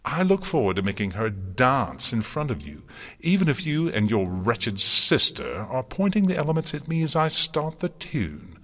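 A man's voice: medium pitch, sing-song, unhurried, a bit bright, voice pushed up, heavily acted. A man voicing a mad, gleefully malicious chaos creature in a storybook, theatrical, taunting and crooning. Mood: mad malicious glee. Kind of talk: dramatic storybook character reading.

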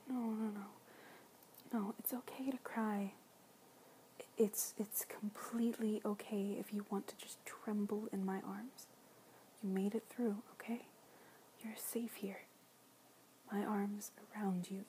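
A woman whispering softly in an ASMR roleplay, soothing and tender, with gentle pet names and quiet repeated reassurances. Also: medium pitch, level tone, takes it slowly, pauses often, slight background noise, rough recording. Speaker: audio roleplay performer.

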